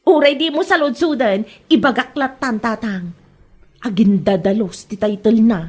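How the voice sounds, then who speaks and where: A woman's voice: a little high, moderate pace, darkish tone, close to an everyday voice. A woman playing a feisty, spiteful grown daughter who defiantly feuds with a neighbour in a comedy audio drama.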